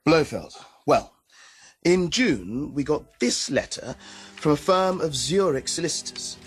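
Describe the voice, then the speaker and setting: A man's voice: fairly high pitch, lively intonation, unhurried, breathy edge, faint music behind. A man with a British accent acting in a radio drama as a wry, breezy, knowing heraldry expert who talks in an arch, chatty way. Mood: wry, informative.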